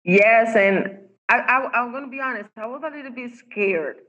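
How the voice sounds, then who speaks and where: Woman guest, Spanish as first language, earnest coach, husky tone, muffled call audio. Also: fairly high pitch, moderate pace, fairly bright tone. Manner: earnest, emphatic, many fillers and restarts. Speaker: guest, faith-based women's coach. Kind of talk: podcast interview. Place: home in Costa Rica.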